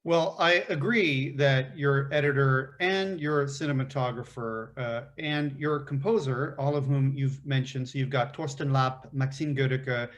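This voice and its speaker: A man's voice: medium pitch, steady tone, a little slow, hardly any pauses. A man moderating a festival Q&A, asking long, detailed questions with a few fillers. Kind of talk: festival Q&A interview.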